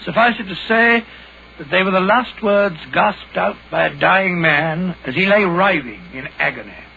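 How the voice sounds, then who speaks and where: An old doctor telling tales in a vintage radio play. His voice is high and creaky, and he savours the suspense theatrically. The recording is dull and lo-fi. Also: expressive, normal speed, pushed and bright, exaggerated voice. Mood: relishing suspense. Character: elderly doctor storyteller reminiscing about a detective's cases. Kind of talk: 1940s radio acting.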